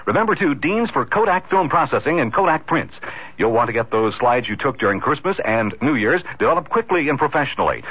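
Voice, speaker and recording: A male announcer reads a scripted radio ad at a quick clip. His voice is husky and lively on a muffled seventies broadcast. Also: mid-range voice. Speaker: commercial announcer. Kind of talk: scripted local radio advertisement.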